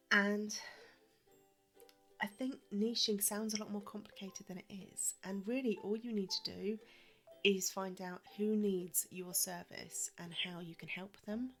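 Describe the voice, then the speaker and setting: A woman's voice: mid-range voice, a bit bright. A woman hosting a solo podcast, chatty with frequent ums, a little wheezy from a cold. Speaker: podcast host.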